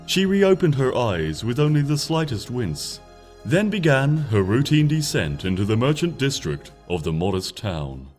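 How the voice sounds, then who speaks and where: A man narrating a story from a script in an expressive, measured audiobook style, with music playing behind him. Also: mid-range voice. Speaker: fan fiction reader, narrator. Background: background music.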